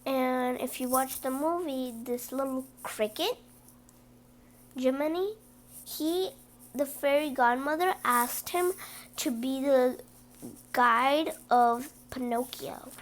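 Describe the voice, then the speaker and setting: A woman reading a children's story aloud with animated, emphatic stresses and brief asides. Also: high-pitched, slow pace. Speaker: storybook reader.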